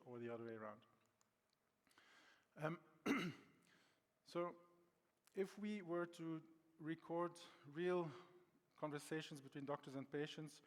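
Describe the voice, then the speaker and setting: A middle-aged man from Belgium with a Belgian accent, giving a conference presentation with frequent ums and restarts. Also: medium pitch. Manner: hesitant, many ums and restarts. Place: conference hall. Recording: long hall reverb.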